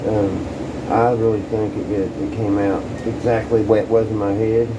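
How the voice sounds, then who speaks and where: A man with a fairly low voice talks casually through a hands-on hobby demonstration. He is informal and folksy, thinking aloud as he works. A clearly audible ambient hum sits behind him on the camera's microphone. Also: flat delivery, normal speed, muffled recording. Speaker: hobbyist showing restored vintage radios. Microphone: handheld camera, sometimes set down farther away. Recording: loud background hum and ambient noise.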